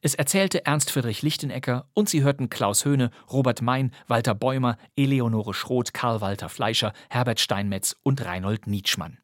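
A man's voice: medium pitch, normal speed, hardly any pauses, dry recording, clean studio sound. A man working as a radio presenter, reading scripted announcements for radio plays. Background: music.

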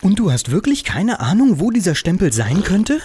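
A woman's voice: mid-range voice, very animated, moderate pace, warm tone, a little hiss or hum, lightly acted. A woman playing an earnest, devoted office adventurer in a comedic radio play, melodramatic and urgent. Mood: pleading, worried.

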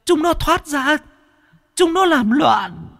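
A man voicing a timid ghost who stammers and pleads in a high, shaky voice as he answers a sorcerer. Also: very animated, slow pace, creaky, a bit bright, in a large hall, exaggerated voice. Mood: frightened pleading.

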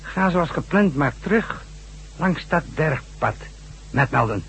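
An actor playing a ruthless, coolly mocking chief of a secret organisation in a Dutch radio drama, husky and commanding. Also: male voice, a little high, expressive, slow pace, fairly bright tone, full character voice, rough recording. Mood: coldly mocking.

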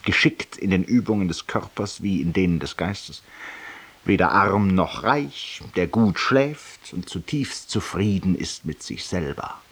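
Deep, husky, smug barroom confessor. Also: male voice, animated, unhurried, full character voice. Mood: elated, boastful. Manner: eloquent, urbane, ironic, addressing a silent listener. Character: self-satisfied, confessional middle-aged male barroom raconteur. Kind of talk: theatrical radio drama monologue.

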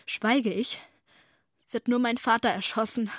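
A woman's voice: a little high, steady tone, a little slow, dry recording, clean studio sound. An actress playing an anguished, insistent young woman in a German radio play. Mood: bitter, accusing.

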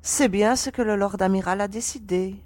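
A woman's voice: medium pitch, moderate pace, darkish tone, close to an everyday voice. A woman playing a confident young mercenary leader in a science fiction audio drama, composed and respectful.

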